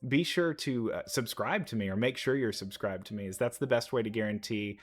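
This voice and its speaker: A man's voice: fairly low pitch, brisk. A man giving casual reaction commentary as a video creator watching game trailers.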